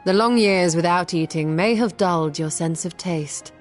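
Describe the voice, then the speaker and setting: Lower-pitched, composed actress as learned woman. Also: natural voice. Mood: grave, thoughtful. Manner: formal, measured. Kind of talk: video game cutscene acting. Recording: music behind.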